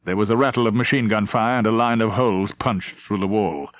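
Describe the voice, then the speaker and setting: A man's voice: on the low side, fairly even intonation, brisk, no room sound. A man narrating a novel as a scripted, dramatic audiobook reading.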